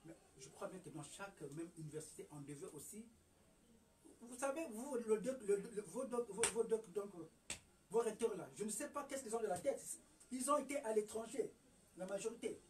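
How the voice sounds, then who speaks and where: A high-pitched man delivers an emphatic lecture, firing rhetorical questions and stumbling over restarts. He sounds set back from the microphone, a bit distant. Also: lively intonation, normal speed, darkish tone. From Republic of the Congo. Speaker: herbal remedy promoter. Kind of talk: lecturing monologue.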